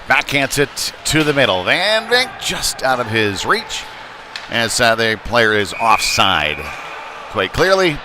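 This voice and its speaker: A man giving live play-by-play commentary on ice hockey in a halting way, with frequent pauses. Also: mid-range voice, sing-song. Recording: noisy live rink broadcast.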